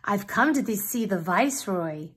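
Brief: eager one-legged rooster, high and pleading, woman acting it out, storybook. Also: lively intonation, moderate pace, slightly rough. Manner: high-pitched, pleading cries. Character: small half rooster, male, plucky and eager.

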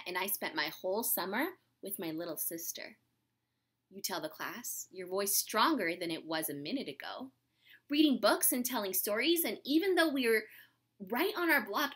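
Woman, thirties, children's read-aloud, expressive storyteller, swooping intonation, husky edge, indoors at home. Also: medium pitch. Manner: animated, dramatic emphasis, asking the young listeners questions. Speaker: storytime host reading a picture book to children. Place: small room indoors at home.

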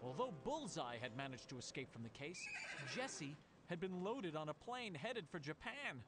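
A man's voice: fairly high pitch, very animated, normal speed. A man reading a children's story as a dramatic narrator. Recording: music under the voice. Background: loud music.

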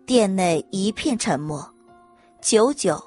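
A woman's voice: medium pitch, animated, slow, darkish tone. A woman reading scripted audiobook narration as the storyteller. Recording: music under the narration.

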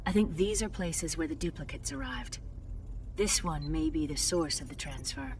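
A woman's voice: fairly low pitch, animated, moderate pace, background noise, natural voice. An actress playing a cautious female scientist in a video game, speaking hesitantly and carefully. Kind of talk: video game cutscene acting.

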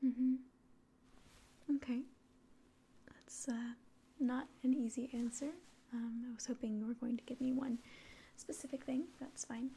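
A young woman performing a whispered ASMR roleplay as a calm alien official, speaking to the listener in a soft, breathy voice with hesitant pauses. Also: fairly high pitch. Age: young adult. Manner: slow, gentle, hesitant pauses. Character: calm, condescending alien official examining a human. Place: small indoor room.

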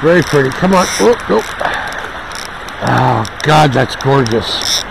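Gravelly, slow-talking man out fishing. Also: mid-range voice, animated. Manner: muttering encouragement to himself, drawn-out exclamations. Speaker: angler filming his own fishing. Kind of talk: casual self-talk while fishing. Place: outdoors on a wooded river. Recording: noisy outdoor recording with river noise. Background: rushing river water.

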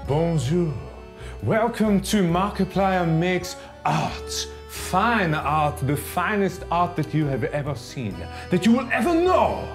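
A man's voice: high-pitched, big pitch swings, takes it slowly, over music, heavily acted. A man playing a pompous, theatrical French artist host, in a mock French accent with broken English. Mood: grandiose. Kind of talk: comedic art show hosting.

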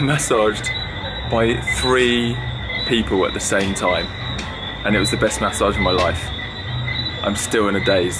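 Casting: young man, travel vlogger, low voice, chatty to camera, loud music under it. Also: rough recording. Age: young adult. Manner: chatty, excitable, talks to camera. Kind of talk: casual vlog narration. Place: car, outdoors and hotel room. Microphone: camera held at arm's length.

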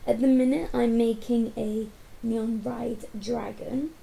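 A young woman with a hoarse, croaky voice, chatting casually while co-hosting a gameplay video. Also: a little high, fairly even intonation, slow, warm tone, low-quality recording. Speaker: co-host of a gaming channel.

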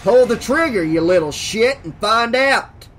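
A man's voice: extremely high pitch, lively intonation, measured pace, a little hiss or hum, voice pushed up, heavily acted. A man voicing a domineering, taunting small-town Texan sheriff in a dramatic audiobook reading. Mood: raging. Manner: taunting, loud, bullying.

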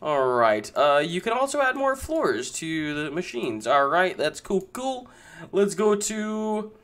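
A young man casually commenting on his own gameplay, chatty and excitable, talking to his viewers. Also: high-pitched. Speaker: gaming YouTuber.

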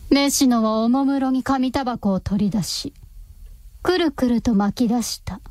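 A woman narrating a radio drama from a script in a measured storytelling manner. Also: on the high side, a little mellow, no echo.

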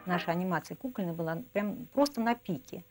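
A woman's voice: on the low side, animated, a little fast. A woman in her fifties reminiscing in a documentary interview, emphatic and repeating words for stress. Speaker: animation professional interviewed. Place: indoor room, seated at table. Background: music.

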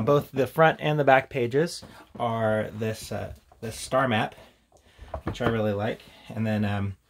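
A man with a mid-pitched, gravelly edge to his voice talks through a product in a casual, unscripted way. He sounds informal and a little hesitant, thinking aloud like a hobby channel host. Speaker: host of a tabletop gaming channel.

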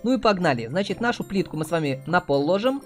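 A man's voice: high-pitched, sing-song, quick pace, soft background music. A man hosting a mobile game playthrough, giving chatty, casual commentary addressed to his viewers. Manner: chatty, uses cute diminutives.

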